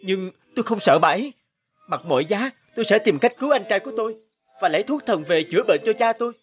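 A man's voice: high voice, animated, fast, highs cut off. A man voicing a brave, dutiful young prince in a cartoon fairy tale, earnest and polite. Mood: urgent.

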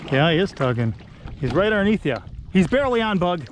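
A middle-aged man filming outdoors on a lake with an action camera, excitedly coaching his young son through landing a fish. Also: high-pitched, a little fast, slight background noise. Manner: encouraging, excited, coaching a child. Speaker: vlogger. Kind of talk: casual coaching commentary.

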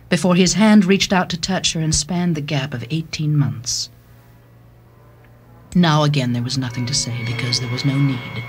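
A woman's voice: deep voice, a little mellow, husky. A woman giving a dramatic scripted reading of a short story. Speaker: story narrator. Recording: music bed behind the voice.

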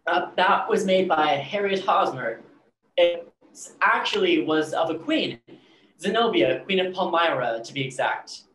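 A man's voice: sits high, animated, measured pace, fairly bright tone. A young man playing a touchy, egotistical artist in a staged play reading, conversational and defensive. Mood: casual, self-regarding. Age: young adult. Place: bare painted-wall room, video call. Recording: echoey room with background hiss.

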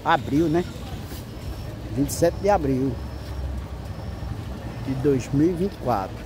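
A man's voice: on the high side, expressive, slow. A man with a Northeastern Brazilian accent chatting casually and narrating a street vlog, often repeating his phrases. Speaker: vlogger. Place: outdoor street market in a town square. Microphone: handheld camera, speaker behind it. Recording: noisy outdoor street recording with traffic.

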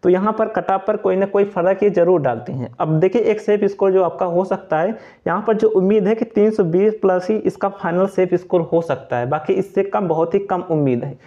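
A man's voice: sits high, a little fast, few pauses, dark tone. A young man giving an informal explainer voice-over, going over points repeatedly. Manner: repetitive, explanatory. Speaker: exam-update channel presenter. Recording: dull, lo-fi voice recording.

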